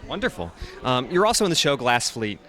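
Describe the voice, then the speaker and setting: A man's voice: mid-range voice, sing-song, fairly quick, a bit bright. A man interviewing a guest at a convention, upbeat and asking short prepared questions. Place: outdoors at a convention.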